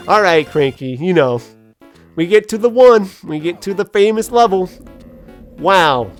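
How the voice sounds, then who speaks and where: A gaming video host giving casual gameplay commentary, telling personal stories in a rambling, halting way with quoted asides. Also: mid-range voice, lively intonation. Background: game music.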